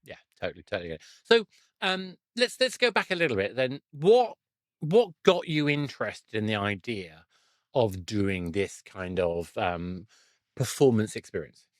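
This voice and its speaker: A man's voice: on the low side, very animated, moderate pace, dry recording, studio quality. A middle-aged man hosting a casual interview, self-deprecating and rephrasing as he thinks aloud. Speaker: podcast host and interviewer.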